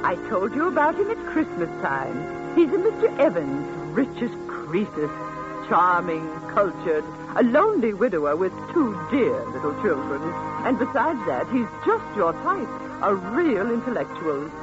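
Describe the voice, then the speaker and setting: An actress playing a pushy, gushing Victorian married sister in 1940s radio style, chatty and sociable. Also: female voice, mid-range voice, big pitch swings, moderate pace, natural voice. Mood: persuasive, gushing. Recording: dull 1940s radio recording with music.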